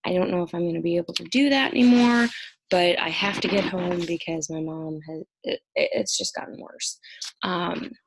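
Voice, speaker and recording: A woman's voice: fairly low pitch, normal speed, vocal fry, noisy background. A young woman recording an exam review lecture at home, explaining each topic conversationally while sounding stressed and short on sleep. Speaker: college instructor.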